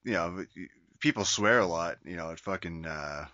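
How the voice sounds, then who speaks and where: A very low-voiced man with a bright, forceful edge talks loosely on a casual podcast, swearing almost every other word and trailing off into fillers. The sound is dry and close, a little dull and lo-fi. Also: moderate pace, dry recording. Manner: swears constantly, rambling with fillers and laughs. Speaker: podcast co-host. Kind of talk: casual crude banter.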